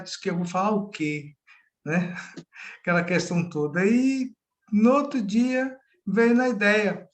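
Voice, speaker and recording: Middle-aged man, pastor leading a casual Bible study over a call, conversational. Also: sits high. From Salvador, Bahia, Brazil. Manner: chatty, often ends with a tag question. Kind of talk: informal Bible study talk. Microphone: video call.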